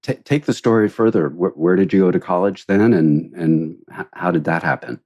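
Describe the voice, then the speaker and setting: Low, husky-voiced interview host. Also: male voice, moderate pace, darkish tone, lo-fi sound. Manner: friendly, prompting questions with stammered restarts. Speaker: podcast host. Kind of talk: interview conversation.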